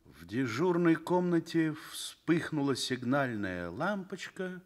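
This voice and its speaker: A man narrating a radio drama in a measured, lyrical literary style. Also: a little high, big pitch swings.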